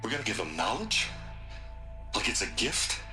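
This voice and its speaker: An actor playing a remorseful, fanatical tech tycoon in a video game, stammering and halting before turning resolute. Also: male voice, on the low side, huge pitch swings, bright, forceful tone, soft background music, exaggerated voice. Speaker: recorded message from the past.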